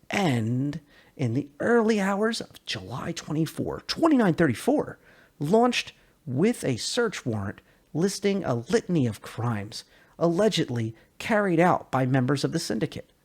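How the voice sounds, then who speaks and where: A man's voice: a little high, husky. A man giving a dramatic scripted narration of science fiction lore with theatrical, emphatic phrasing. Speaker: narrator, lore video creator.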